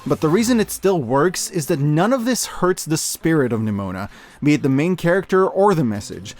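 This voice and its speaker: A man giving an enthusiastic, opinionated scripted review as a video host, with expressive conversational asides. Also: on the high side.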